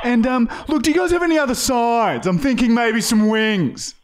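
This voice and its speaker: Guy doing a chirpy fussy aussie suburban lady on a prank call, really high voice, polite but pushy. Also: lively intonation, moderate pace. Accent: Australian. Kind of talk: prank call character comedy. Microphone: close boom-arm podcast microphone.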